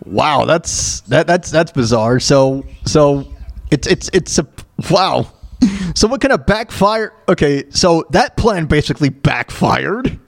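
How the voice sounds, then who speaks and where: Male talk show host, lively swooping pitch, dry close sound, halting folksy commentary, repeats himself. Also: creaky, no room sound. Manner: hesitant, groping for words, repeating himself. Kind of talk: casual talk show commentary reacting to a played narration.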